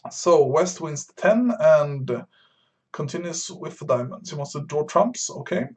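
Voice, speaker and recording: A man's voice: on the high side, expressive, measured pace, a little mellow. Hesitant man explaining his bridge play. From Sweden. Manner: thinking aloud, hesitant with frequent fillers. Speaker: bridge streamer teaching beginners. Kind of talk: live-stream commentary explaining card play.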